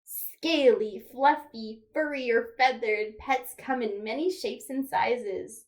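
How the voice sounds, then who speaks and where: High-voiced woman reading slowly to toddlers. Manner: simple child-directed delivery with playful animal sounds. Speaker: children's librarian leading storytime. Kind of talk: toddler storytime read-aloud with questions and rhymes.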